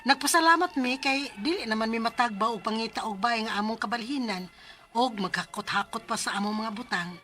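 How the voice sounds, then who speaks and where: A woman's voice: a little high, brisk, bright, forceful tone, soft background music. A woman acting and narrating as an earnest, respectful young daughter in a Cebuano Filipino radio drama. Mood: earnest narration. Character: earnest, respectful young daughter telling her family's problem.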